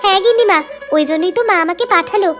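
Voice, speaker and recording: A woman's voice: very high voice, very fast, music in the background. A woman voicing an eager, obedient young village girl in a cartoon tale. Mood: eager, polite. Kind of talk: Bengali cartoon fairy-tale dialogue.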